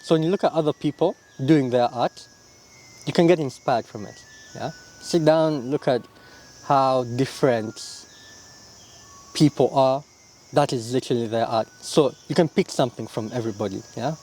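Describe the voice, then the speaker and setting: A young man from Uganda, answering interview questions in a relaxed, reflective way, often tagging his lines with "yeah?" and "you know?". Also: mid-range voice, normal speed, slight background noise. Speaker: interview guest, illustrator.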